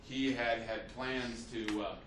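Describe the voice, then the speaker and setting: A man's voice: medium pitch, fairly even intonation, slow, fairly bright tone, a little hiss or hum. A man giving an unscripted history lecture as a teacher, telling it like a story, with many fillers and restarts. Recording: echoey room, mic at a distance.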